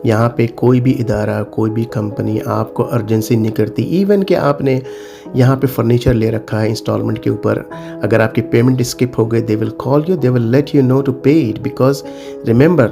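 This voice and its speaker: Middle-aged Pakistani-accented man with gravelly creak. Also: mid-range voice, steady tone, unhurried, music in the background. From South Asia (Pakistan). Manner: emphatic, repeats warnings, mixes in English phrases. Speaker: cyber security consultant and advice video host. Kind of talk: informal advisory talk to viewers.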